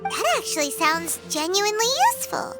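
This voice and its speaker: Little game fairy, very high squeaky voice, childlike, cheeky, swooping intonation, music behind. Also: female voice, a little fast. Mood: musing. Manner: exaggerated, expressive. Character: small childlike female floating fairy companion, curious and cheeky. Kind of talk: animated video game voice acting.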